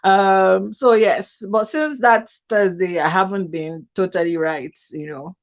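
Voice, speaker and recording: A woman hosting a casual livestream chat, animated and chatty, a little nasal from a cold. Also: medium pitch, a little slow, highs cut off. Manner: chatty, animated, laughs often. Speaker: host. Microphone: webcam.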